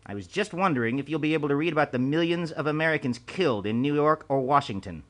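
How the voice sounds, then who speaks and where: A man's voice: on the high side, quick pace, fairly bright tone, voice pushed up, lightly acted. A man voicing an elderly male spymaster, precise and anxious, speaking urgently. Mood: grim. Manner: urgent, pleading.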